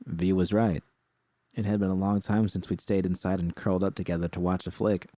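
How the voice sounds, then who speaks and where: A man narrates first-person fiction in a low, dark voice. It is a scripted audiobook read with a close, dry sound and no room around it. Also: little pitch movement, brisk, no room sound.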